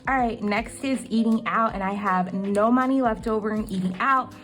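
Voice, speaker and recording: A young woman talks casually through her monthly budget, chatty and full of ums. Her mid-pitched voice is slightly cracked today. Faint music plays softly under her voice. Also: normal speed. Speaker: budgeting vlogger.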